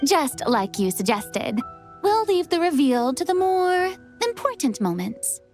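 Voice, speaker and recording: An exuberant young lady film director from an animated game. The voice is high and very lively, with theatrical, commanding turns and an encouraging temper. Faint music plays behind it. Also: unhurried. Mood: pleased, encouraging.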